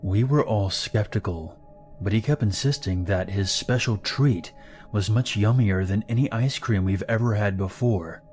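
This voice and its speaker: Deep-ish guy reading scary stories, calm and steady, music behind him. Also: normal speed. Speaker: narrator. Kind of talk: scripted horror story narration. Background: background music.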